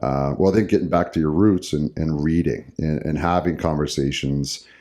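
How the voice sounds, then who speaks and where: A man with a very deep, mellow voice chats on a podcast. He rambles, restarting sentences and filling pauses as he goes. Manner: rambling, many fillers and restarts. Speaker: podcast co-host, hockey coach. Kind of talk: casual podcast chat.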